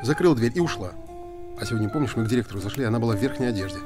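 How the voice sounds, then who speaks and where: An actor playing a composed, analytical middle-aged theatre director in a naturalistic screen drama. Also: male voice, medium pitch, animated, rapid-fire, over music, close to an everyday voice.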